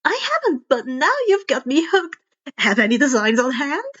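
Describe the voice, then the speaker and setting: Lady doing a teen inventor girl for an audiobook, really high n perky, breezy and teasing, bit muffled. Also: sing-song, moderate pace, a bit bright, full character voice. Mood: eager. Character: breezy, eccentric, curious teenage girl inventor.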